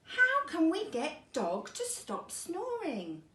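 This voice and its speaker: A woman voicing a sleepy farm cat who is fed up with the snoring, in a hurried, pleading way. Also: extremely high pitch, huge pitch swings, noisy background, exaggerated voice. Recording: roomy, distant mic.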